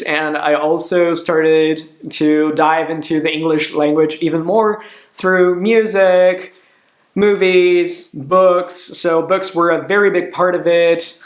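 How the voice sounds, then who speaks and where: A man's voice: on the high side, roomy sound. Upbeat young Brazilian-born man vlogging. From Curitiba, southern Brazil. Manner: chatty, upbeat, switches languages between sections. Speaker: language vlogger. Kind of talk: casual direct-to-camera vlog.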